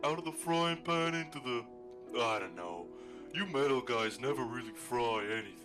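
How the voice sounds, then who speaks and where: A man's voice: medium pitch, expressive, moderate pace, a bit bright, recorded from a distance, soft background music, lightly acted. A man voicing a bemused, skeptical outsider among robots in a cartoon fan dub, muttering and colloquial.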